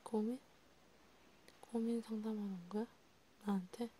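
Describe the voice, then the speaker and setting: A gentle young vampire counselor played by a woman speaks in a mellow, half-whispered ASMR voice. The delivery is slow, halting and patient, meant to reassure. There is clear noise behind the voice. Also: mid-range voice, steady tone, natural voice. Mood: patient, soothing. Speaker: amateur ASMR creator. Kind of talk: soft-spoken, half-whispered ASMR roleplay.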